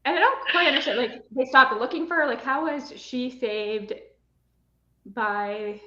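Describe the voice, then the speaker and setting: A woman's voice: unhurried, pushed and bright. A young woman co-hosting a live book chat, asking puzzled questions about the plot. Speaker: book club co-host. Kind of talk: casual live book discussion. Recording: roomy, dull low-fi sound.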